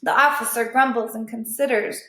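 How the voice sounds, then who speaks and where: A woman's voice: a little high, steady tone, normal speed, a bit bright. A woman teacher reading a storybook aloud and adding simple explanatory asides. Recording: echoey room.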